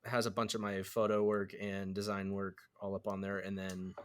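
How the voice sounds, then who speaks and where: Easygoing young guy, lowish voice. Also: monotone, moderate pace, clear, resonant tone. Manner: easygoing, laughs often. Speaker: podcast co-host. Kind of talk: casual chat.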